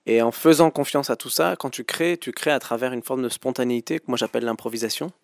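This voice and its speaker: A man's voice: mid-range voice, fast, vocal fry, no echo, clean studio sound. A man in his thirties giving thoughtful interview answers as a guest musician, hesitating often with fillers and restarts.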